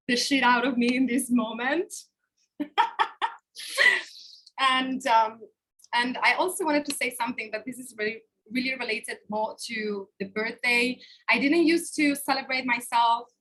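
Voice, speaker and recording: Woman life coach in her 30s, fairly high, bright tone, open and expressive, webcam in a hotel room. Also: rough recording. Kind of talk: live online workshop talk.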